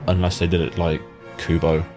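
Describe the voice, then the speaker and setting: A man's voice: deep voice, completely flat delivery, moderate pace, darkish tone, faint music behind. A man interviewing in a casual, informal chat, talking loosely and freely.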